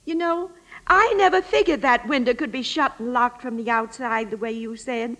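An actress playing a lonely, attention-hungry old former actress in a radio drama, chatty, folksy and coyly evasive. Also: female voice, a little high, moderate pace, fairly bright tone, lightly acted. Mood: wistful, sly.

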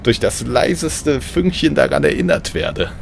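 A man's voice: mid-range voice, big pitch swings, unhurried, gravelly, slight background noise, voice pushed up, exaggerated voice. A man voicing a villainous, gift-stealing evil twin in a comic audio play, with an affected, declaiming manner. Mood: smug. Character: shrill villainous mirror-universe double, gift-stealing scoundrel.

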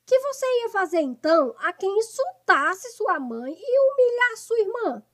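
Casting: woman as meddling older mother for radio farce, extremely high voice, bossy, scolding, theatrical. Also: sing-song, measured pace, heavily acted. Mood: goading, bossy. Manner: shrill insults and commands, theatrical. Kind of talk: radio soap opera comedy acting.

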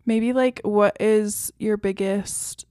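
A woman with a mid-pitched voice co-hosts a podcast in relaxed, informal conversation. She tells stories with quoted asides, recorded dry with very little room sound. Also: takes it slowly, no echo. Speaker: podcast co-host. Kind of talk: casual podcast chat.

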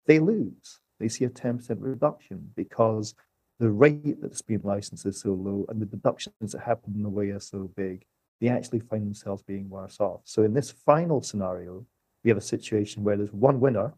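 Low-voiced man explaining, close dry sound. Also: normal speed, dark tone, slightly rough, no echo. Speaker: economist guest. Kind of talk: explanatory podcast commentary.

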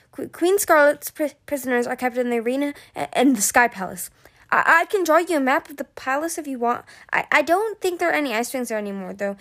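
A big but easily flustered she-dragon in a fantasy storybook, put on by a woman reader. Her voice climbs high and whiny, and she stammers through her protests and pleas. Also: a bit bright. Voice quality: raised, whining tone.

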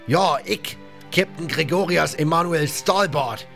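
A man voicing a gruff, bossy pirate captain in an audiobook, barking orders in colloquial slangy speech. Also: fairly high pitch, unhurried, a bit bright, soft background music, pitched above the performer's own voice. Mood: angry, commanding. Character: gruff, bossy one-eyed male pirate captain, middle-aged.

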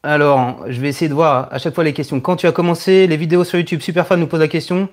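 Higher-pitched, hesitant man in his thirties. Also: steady tone. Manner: halting, hesitant, repeats words as he thinks. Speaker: host of a live Q&A stream, online video creator. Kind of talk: casual live chat answering viewers' questions.

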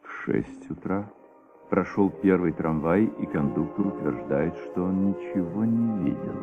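A man performing as the dramatic, measured narrator of a detective story in a Soviet radio play. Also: low-pitched, animated. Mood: matter-of-fact. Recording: dull old radio recording, faint music.

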